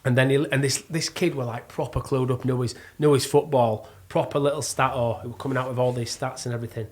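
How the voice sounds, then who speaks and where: A middle-aged man with a Yorkshire accent, chatting casually and spinning animated, self-mocking stories. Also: mid-range voice, quick pace. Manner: jokey, self-mocking storyteller. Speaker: podcast guest, actor and plasterer. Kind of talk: casual chat, animated anecdote telling.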